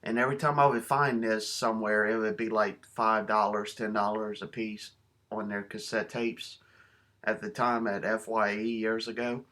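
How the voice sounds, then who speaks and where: Bright-voiced man, casual vlogger. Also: on the low side, little pitch movement, moderate pace. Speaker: video host showing a cassette collection. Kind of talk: casual show-and-tell vlog.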